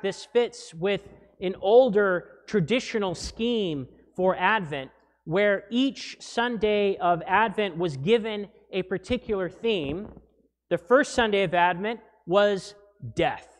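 A man in his thirties, a pastor preaching a sermon from the pulpit mic, animated and wry, with small restarts. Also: high-pitched. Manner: animated, gesturing while preaching. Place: church sanctuary, indoors. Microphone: gooseneck pulpit mic. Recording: long church reverb.

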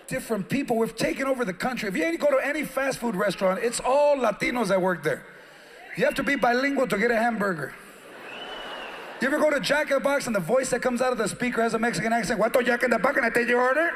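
A man doing stand-up comedy, his voice pitched very high as he darts between characters and Spanish phrases. He speaks into a handheld mic on a big theater stage. The live recording carries clear audience noise. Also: lively intonation, quick pace, fairly bright tone, roomy sound.

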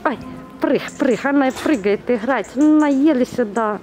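A woman's voice: high-pitched, measured pace, darkish tone, soft background music. A woman cooing affectionate baby talk to animals in a repetitive, playful way, filming outdoors on a handheld camera. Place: outdoors beside a zoo lion enclosure. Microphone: handheld camera, speaker behind it.

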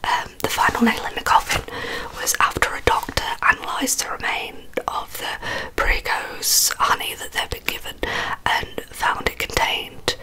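A woman in her twenties with an English accent telling stories in a soft, breathy ASMR whisper, close to the microphone, slightly hesitant. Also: moderate pace, rough recording. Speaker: ASMR video host. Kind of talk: whispered ASMR true crime storytelling. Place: small carpeted bedroom. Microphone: large microphone very close in front of the mouth.

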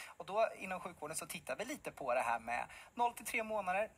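A man in his thirties, a pediatric specialist nurse, explaining in a relaxed way with many fillers, interviewed in a TV studio on a lapel mic. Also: a little high, slightly rough. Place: bright TV studio.

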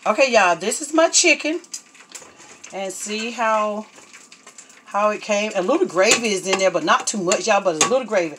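A woman, chatting her way through a home cooking video in her kitchen, often calling out to her viewers. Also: mid-range voice. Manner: chatty, homey, keeps saying y'all. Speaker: home cook vlogger. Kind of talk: casual cooking narration. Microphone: phone camera held over the pot. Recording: noisy kitchen phone recording. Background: clinking pots and utensils.

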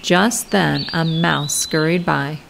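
A woman reading a storybook aloud to young children in an expressive, deliberate way. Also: low-pitched, gravelly, background noise. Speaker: storybook reader. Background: music and sound effects.

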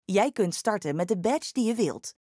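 A woman reading a scripted instructional voice-over, explaining each step clearly as a tutorial narrator. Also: mid-range voice, animated, moderate pace, mellow, no room sound, studio quality.

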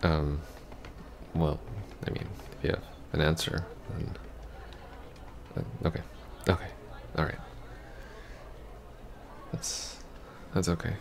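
A man playing an awkward, apologetic young ex-boyfriend in an ASMR roleplay, halting and stammering. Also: very deep voice, level tone, gravelly, slight background noise, heavily acted. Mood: sheepish, guarded. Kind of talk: ASMR roleplay, soft intimate acting.

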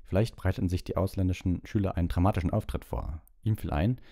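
Casting: male audiobook narrator, deep pitch, soft dark timbre, scripted storytelling. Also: quick pace, dry recording, clean studio sound.

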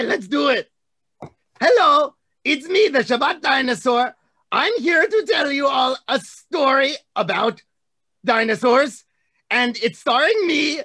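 A middle-aged man voicing an excitable, big-hearted dinosaur hand puppet, telling a children's story with theatrical delivery. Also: very high voice, no echo, heavily acted. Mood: excited. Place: room at home. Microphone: video call, computer mic.

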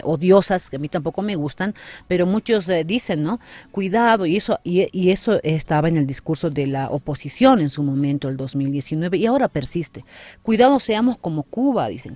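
A woman in her forties, a councillor interviewed in a small radio studio, explaining her views earnestly and with emphasis on a boom-arm mic. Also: animated, a little fast, warm tone, no echo. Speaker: guest, city councillor.

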